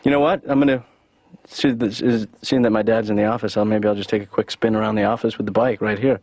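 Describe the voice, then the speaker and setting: A man's voice: on the low side, fairly even intonation, fast talker, darkish tone, no room sound. A man playing a goofy, aimless grown-up son in a cartoon, rambling casually. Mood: playful, show-off. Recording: dull, noisy lo-fi cartoon soundtrack.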